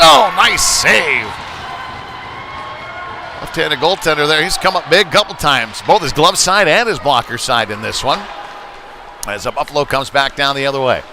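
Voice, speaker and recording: A man calling live hockey play-by-play, mixing conversational analysis with excited surges on big plays. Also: medium pitch. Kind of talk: live sports commentary. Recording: noisy rink ambience behind live commentary. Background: busy ice rink noise.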